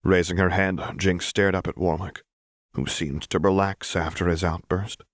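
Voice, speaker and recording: A man with a low voice narrates dramatic fiction. He reads from a script as an audiobook narrator, recorded very dry with no room sound. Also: little pitch movement, normal speed, no echo.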